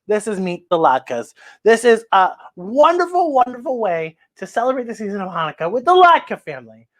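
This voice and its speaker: Children's read-aloud host, female, very animated delivery, effusive, repeats key phrases. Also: on the high side, a little fast. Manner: exclamatory, gushing, repeats phrases. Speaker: children's story time host.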